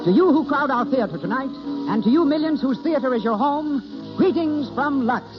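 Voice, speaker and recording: A man's voice: very high voice, lively intonation, moderate pace. A man announcing a 1930s radio show in a formal, proclamatory showman's manner. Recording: dull 1930s radio recording, music behind.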